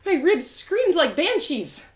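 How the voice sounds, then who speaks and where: A woman's voice: very high voice, big pitch swings, takes it slowly, pitched above the performer's own voice, full character voice. A woman playing a plucky, easily panicked young heroine in an audio drama, talking to herself in emotional exclamations. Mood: pained, panicking. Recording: roomy, echoey sound.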